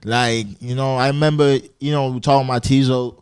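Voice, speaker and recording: A man's voice: mid-range voice, fairly even intonation, brisk, darkish tone, clear, resonant tone, no echo. A man from France, a guest on a podcast, talking in a laid-back casual way with lots of slang fillers. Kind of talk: casual chat.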